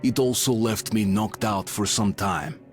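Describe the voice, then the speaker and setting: An actor playing a grave, haunted young soldier who narrates his memories in a game, measured. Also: on the low side, gravelly, soft background music. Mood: somber reflective. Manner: solemn, measured, introspective.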